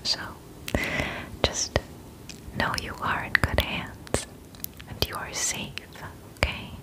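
A young woman in her twenties playing a friendly forest witch in an ASMR roleplay, whispering breathily and gently, close to the microphone. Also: close to an everyday voice, rough recording. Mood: soothing, reassuring. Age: 20s. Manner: slow, gentle, reassuring. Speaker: ASMR performer.

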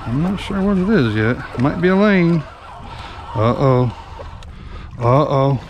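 Excited fishing host on a noisy boat. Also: male voice, a little high, slow, lo-fi sound. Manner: excited play-by-play while reeling in fish. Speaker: fishing guide and channel host. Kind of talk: casual fishing vlog commentary. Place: open boat on the sea, outdoors. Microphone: action camera on the boat. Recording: outdoor boat noise behind the voice. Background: boat engine and water noise.

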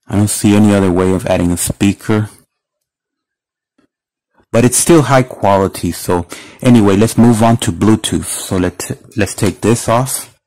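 A man with a fairly low voice talks through a casual product demo. He explains each step plainly, as a reviewer showing a gadget by hand. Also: moderate pace, mellow, low-quality recording. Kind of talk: casual hands-on product demonstration. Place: indoors at a wooden table.

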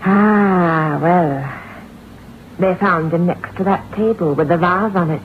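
An actress playing a gossipy, folksy country shopkeeper in an old-time radio drama, telling morbid tales with drawn-out pauses. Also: female voice, fairly low pitch. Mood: morbid relish. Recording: muffled, hissy vintage radio recording.

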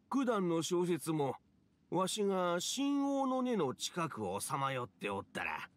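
A man's voice: fairly high pitch, a bit bright, heavily acted. A man playing an ancient, mischievous male fox spirit in a video game, with old-fashioned, theatrical elderly speech. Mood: proud, reminiscing. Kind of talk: Japanese video game acting.

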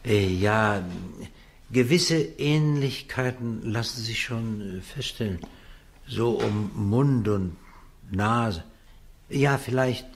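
An actor playing a nervous, evasive shopkeeper who stammers as a witness in a German radio crime drama. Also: male voice, fairly low pitch, slight background noise. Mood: hesitant, evasive. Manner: halting, stammering.

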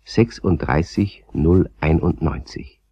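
A man's voice: a bass voice, slow pace, dark tone, husky, dry recording, exaggerated voice. A man narrating a children's radio play as its storyteller, in scripted storybook style. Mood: calm storytelling. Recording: dull old cassette recording.

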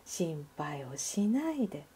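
A woman voicing a gentle, caring mother duck in a children's storybook, in a soothing, polite manner. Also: low-pitched, very animated, takes it slowly, breathy edge, voice pushed down, heavily acted.

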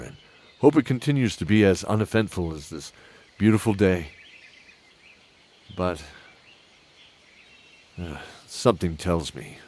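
A man's voice: low voice, darkish tone, slightly rough, exaggerated voice. A man playing a kindly, weary old knight who introduces an audio drama in a hesitant, musing way.

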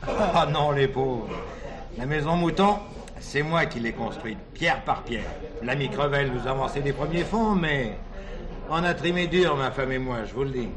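A man's voice: medium pitch, expressive, normal speed, a crowd in the background, close to an everyday voice. An actor playing a jovial middle-aged self-made produce wholesaler and doting father in a French radio drama, colloquial and chuckling. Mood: proud, nostalgic.

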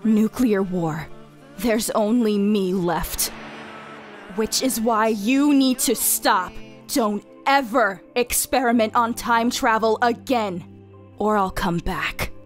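A stern, world-weary anime woman voiced by an actress, the last survivor of a ruined future. She speaks slowly at a medium pitch, solemn, over music. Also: close to an everyday voice. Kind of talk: animated visual novel acting. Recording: music behind the voice.

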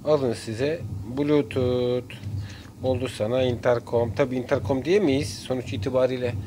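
A man giving a casual hands-on tutorial in short phrases with frequent pauses. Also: medium pitch, fairly even intonation. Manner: halting, pausing between short phrases. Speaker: DIY video maker. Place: indoors at a workbench. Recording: clear background noise, room ambience.

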